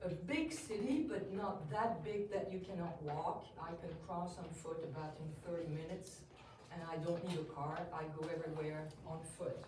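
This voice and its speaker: A woman's voice: lowish voice, normal speed, few pauses. Echoey, distant French woman giving a talk. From Brittany, France. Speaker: visual artist giving a talk, quilter. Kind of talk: informal artist talk to an audience. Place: indoor gallery, recorded from a distance. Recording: echoey, noisy gallery room, distant mic.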